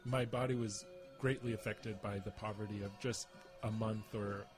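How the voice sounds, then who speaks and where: A man's voice: fairly low pitch, steady tone, normal speed, music in the background. A man in reflective personal conversation, searching for words and repeating them for emphasis. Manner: searching, emphatic phrasing with repetition.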